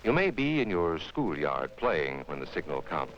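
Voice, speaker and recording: Casting: low-voiced American male narrator for a 1950s children's instructional film, lively inflection, emphatic teaching manner, dull vintage soundtrack. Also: normal speed, heavy background noise.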